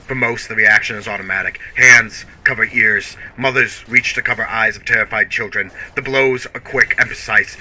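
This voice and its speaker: A man's voice: fairly low pitch, sharp tone, low-quality recording. A man giving a grave, theatrical and emphatic scripted narration in the style of a radio play. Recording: music bed under the voice. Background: music.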